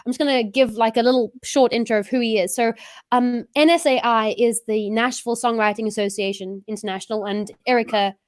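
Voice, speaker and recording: A woman's voice: a little high, fairly even intonation, brisk, no room sound, studio quality. A woman host with an English accent, chatting casually with a guest in an online interview and laughing at herself.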